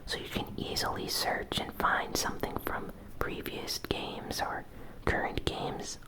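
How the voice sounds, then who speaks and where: A woman hosting gaming news in a breathy ASMR whisper, delivering it softly and deliberately in short phrases. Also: poor audio. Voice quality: breathy, fully unvoiced whisper. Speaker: host of a gaming news show.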